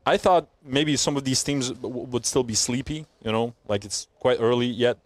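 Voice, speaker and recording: A young man from Belgium hosting a live sports stream, chatting with viewers and calling the play with rising excitement. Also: mid-range voice, moderate pace, no echo. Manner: chatty, reads out chat messages, laughs often, excited during play. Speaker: livestream commentator. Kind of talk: casual stream chat and play-by-play commentary.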